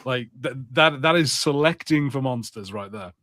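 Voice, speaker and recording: A man in a relaxed podcast conversation weighs in with measured doubt, pausing and restarting as he thinks. His voice sits at a middle pitch. The recording is dry and close. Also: no echo. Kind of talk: casual podcast chat.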